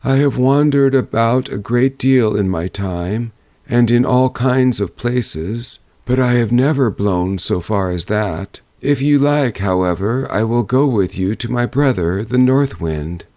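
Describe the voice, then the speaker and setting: A man's voice: medium pitch, a little slow, warm tone, dry recording, voice pushed up, natural voice. A man voicing an expansive, well-travelled male wind spirit in a fairy tale, with drawling delivery.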